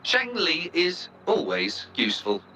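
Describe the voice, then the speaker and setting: Bright, formal robot assistant. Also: male voice, fairly high pitch, huge pitch swings, unhurried, a little hiss or hum, heavily acted, poor audio. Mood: formal, matter-of-fact. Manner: stiff, formal, clipped protocol announcements. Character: formal robot assistant, dutiful, proud of itself. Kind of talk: video game voice acting.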